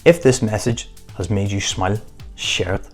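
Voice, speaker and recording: Earnest low-voiced man with motivational talk. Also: normal speed, faint music behind. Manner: earnest, emphatic, short punchy phrases. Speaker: motivational vlogger.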